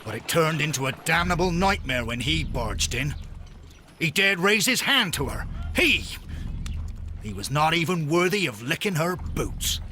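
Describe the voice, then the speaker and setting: A man's voice: a little high, big pitch swings, a little slow, pushed and bright, a little hiss or hum, full character voice. A man playing a passionate, defiant, lovelorn Victorian sea captain in a video game, with an impassioned, melodramatic delivery. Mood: bitter, enraged. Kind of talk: dramatic video game acting. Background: music.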